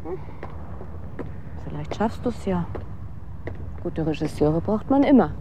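A woman acting in a German radio drama as a sardonic, world-weary young aspiring actress with a deadpan, cynical delivery. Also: lowish voice, takes it slowly, full character voice. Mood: weary, morbid. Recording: clear outdoor street and traffic noise. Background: city street ambience with vehicles.

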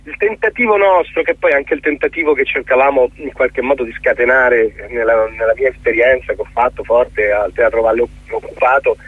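A middle-aged man giving a reflective radio interview as a theatre guest, articulate but often pausing to search for words. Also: medium pitch, fairly even intonation, husky. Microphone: telephone. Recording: muffled, thin telephone line on radio.

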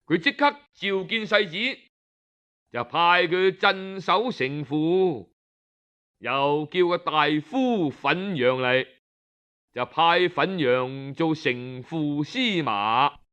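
A man with a Cantonese accent telling a story in a traditional oral style, colloquial and expressive, with drawn-out particles. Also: on the high side, clear, resonant tone, dull audio.

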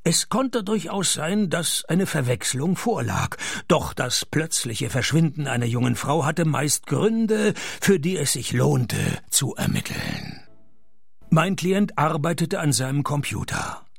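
An actor playing a laconic private detective who narrates his case in a radio play, dry, terse and deadpan. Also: on the high side, slow, gravelly, husky, no room sound, full character voice. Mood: dry, matter-of-fact.

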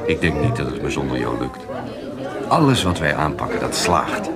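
A man's voice: rumbling low, lively intonation, moderate pace. An actor in a Dutch radio play playing a wry, loyal and slightly bashful male companion in the 1790s. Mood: bashful, sincere. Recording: busy crowd chatter behind the voice. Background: loud tavern crowd.

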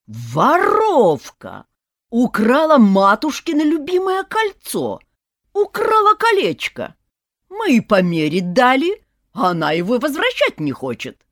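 A woman voicing a scheming, fake-sweet old grandmother who is part snake, cooing and then shrill. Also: very animated, takes it slowly, no echo, clean studio sound, heavily acted. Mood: wailing. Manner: cooing, syrupy, turns to shrill wailing.

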